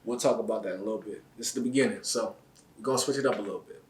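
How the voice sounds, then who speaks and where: A man's voice: lowish voice, racing. Hyped host man in a roomy room. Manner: loud hyped opener, then relaxed street slang. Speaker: interview show host. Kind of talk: casual hip-hop interview intro and questions. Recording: roomy, slightly distant mic with faint noise.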